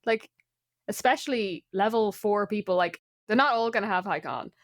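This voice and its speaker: A woman's voice: medium pitch, moderate pace, dry recording. Woman chatting casually, close dry sound. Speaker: podcast co-host. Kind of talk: casual chat about tabletop game monsters.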